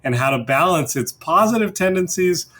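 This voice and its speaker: A man's voice: sits high, expressive, moderate pace, fairly bright tone. A man hosting a discussion podcast in a conversational style. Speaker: podcast host.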